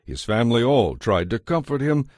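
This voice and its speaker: A man's voice: mid-range voice, sing-song, normal speed, darkish tone, no echo, studio quality. A man giving a scripted narration as the narrator of a dramatized audio Bible.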